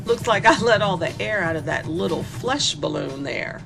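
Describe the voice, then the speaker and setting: Taunting sadistic female killer, swooping and creaky. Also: fairly low pitch, expressive, moderate pace. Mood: gleeful, cruel. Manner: mocking, flirtatious, toying with the captive. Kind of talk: dark comic horror audio drama monologue. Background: music.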